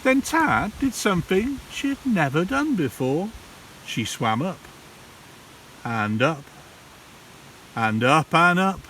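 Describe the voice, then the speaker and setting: A man, a primary school teacher, reads a children's storybook aloud in a theatrical, deliberate way with dramatic pauses. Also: on the high side, very animated, sharp tone. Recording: distant mic, hissy background noise.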